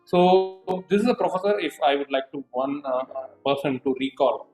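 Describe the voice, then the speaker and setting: A middle-aged man from southern India with a South Indian English accent, reflecting slowly with many fillers and restarts as an interview guest. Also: a little high, darkish tone, roomy sound, soft background music, poor audio. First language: not Hindi; a southern Indian language. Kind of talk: unscripted conversational interview.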